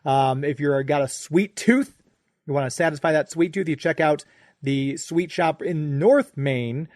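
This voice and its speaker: A man's voice: on the high side, measured pace, darkish tone, no echo, clean studio sound. An American man hosting a radio show in a chatty, informal, conversational way. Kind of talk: conversational ad read and interview.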